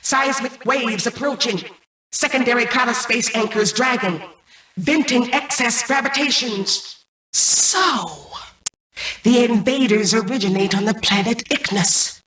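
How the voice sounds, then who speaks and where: A woman playing a cold, imperious mainframe AI in a video game, menacing and calculating, with formal, commanding, measured robotic delivery. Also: on the high side, a bit bright. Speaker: game antagonist turned ally. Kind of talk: scripted video game voice acting. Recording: distant, echoey processed game audio.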